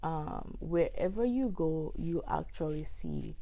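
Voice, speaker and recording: A young woman with a hoarse, stuffy voice from a cold, unboxing makeup in a rambling vlog with many ums and restarts. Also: low voice, lively intonation, takes it slowly, mellow. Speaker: beauty vlogger.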